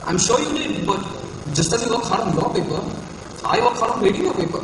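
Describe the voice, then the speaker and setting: An actor playing a mild, nerdy young teaching assistant on stage, dry and reproving. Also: male voice, sits high, very animated, quick pace. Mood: firm, polite. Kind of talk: amateur stage play acting. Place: large indoor hall, stage play. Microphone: far-off recorder. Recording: echoey hall, far off, noisy.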